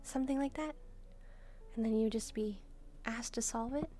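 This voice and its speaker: Woman as a mellow, gentle demon maid. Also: fairly high pitch, moderate pace, a little hiss or hum, lightly acted. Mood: curious. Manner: gentle, musing, softly teasing. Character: gentle, devoted young female demon maid. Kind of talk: soft-spoken roleplay addressed to the listener. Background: background music.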